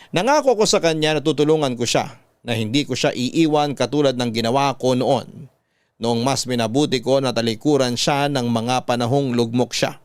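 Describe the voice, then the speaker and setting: A man with a Filipino accent reading a scripted first-person story in a measured, dramatic storytelling manner. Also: medium pitch, clear, resonant tone, dry recording. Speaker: radio drama narrator.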